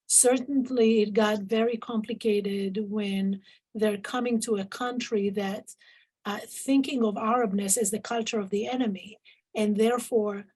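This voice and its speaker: A middle-aged woman from Israel whose first language is Arabic, a professor answering interview questions thoughtfully, with frequent pauses and restarts. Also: mid-range voice, a little mellow, slightly rough. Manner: thoughtful, many fillers and restarts. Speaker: professor guest.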